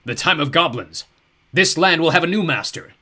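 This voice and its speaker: A man voicing a huge, cruel ancient ogre lord who talks slowly and boastfully. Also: expressive, sharp tone. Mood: gloating. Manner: booming, slow and gloating. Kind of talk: audiobook character voice.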